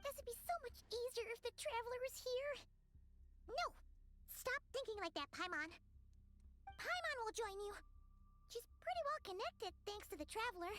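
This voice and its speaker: An actress voicing a tiny, eager, childlike fairy companion with a squeaky voice in a game. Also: female voice, lively intonation, moderate pace, long pauses, over music. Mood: anxious, eager.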